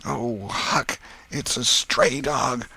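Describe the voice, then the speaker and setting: Man acting an excitable, high-pitched young country boy. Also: normal speed, exaggerated voice. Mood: frightened. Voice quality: rustic drawling dialect. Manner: whispery, breathless urgency. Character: excitable, superstitious young boy schemer, frightened and bossy.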